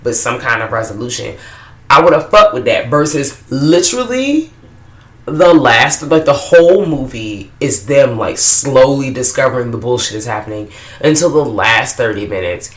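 A woman co-hosting a casual movie review chat, blunt and profane, in a tired, low after-work voice. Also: expressive, moderate pace. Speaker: podcast co-host. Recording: roomy echo.

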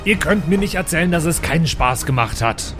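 Man playing a bossy, reckless dwarf, higher-voiced. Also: animated, brisk, over music, lightly acted. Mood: bossy, excited. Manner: blunt, insistent. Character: reckless, bossy, gold-greedy male dwarf adventurer.

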